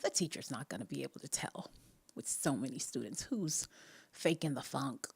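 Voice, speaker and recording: A woman's voice: extremely low pitch, normal speed, creaky, husky. Laughing Jamaican woman telling her story. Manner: laughs often, animated, many restarts and asides. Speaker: podcast host. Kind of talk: casual faith talk, personal storytelling.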